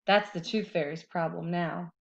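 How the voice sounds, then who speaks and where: A woman's voice: fairly low pitch, steady tone, normal speed, creaky, lo-fi sound. A woman voicing a stubborn, cheeky young boy in a storybook read-aloud. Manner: defiant, plain-spoken.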